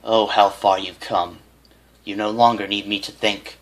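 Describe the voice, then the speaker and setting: A man's voice: fairly low pitch, level tone, measured pace, lightly acted. A man voicing a bullied young male unicorn, defiant and taunting, in a storybook reading. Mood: cold, menacing.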